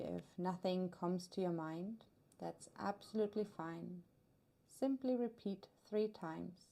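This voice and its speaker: A woman guiding a meditation as a yoga nidra teacher, soothing and unhurried, leaving long pauses between her phrases. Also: on the low side, warm tone. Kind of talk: guided meditation narration.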